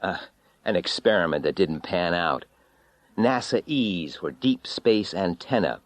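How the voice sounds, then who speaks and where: A man's voice: low voice, lively intonation, a little slow, no echo. A man voicing a bitter, haunted former astronaut in an audiobook story, wry, weary and intense. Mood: matter-of-fact, wry. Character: bitter, haunted male former astronaut recounting his ordeal.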